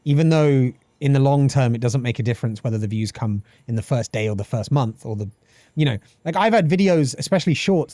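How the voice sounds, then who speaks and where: A man's voice: medium pitch, no room sound. A British man in his thirties chatting casually on a game live stream, swearing freely and reading chat aloud. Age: 30s. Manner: animated, swearing, reads chat aloud and laughs often.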